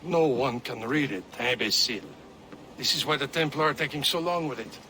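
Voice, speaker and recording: An actor playing a haughty, scornful seafaring schemer with a French accent, animated and insulting, in a game cutscene. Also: male voice, mid-range voice, a little fast, a little hiss or hum. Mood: scornful, boastful.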